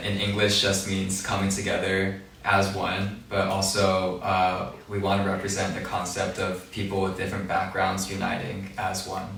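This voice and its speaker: Young guy from hawaii and japan, deep flat voice, measured, mic kinda far off. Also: vocal fry, slight background noise. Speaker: boy band vocalist. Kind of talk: interview answers. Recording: echoey room, mic at a distance.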